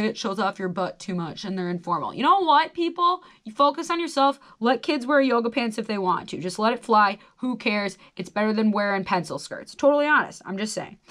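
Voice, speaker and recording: A woman's voice: medium pitch, a bit bright. An American woman in her twenties chatting casually and reading a quiz aloud, with wry, self-deprecating asides. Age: 20s. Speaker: host of a solo quiz video. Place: small radio studio.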